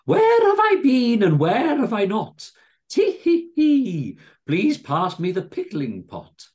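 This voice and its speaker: Creepy, gleeful little thief: high, swooping, sing-song rhymes with drawn-out words, comic storybook style. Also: male voice, wildly swooping pitch, a little mellow, exaggerated voice. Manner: rhyming chants, tittering, drawn-out words.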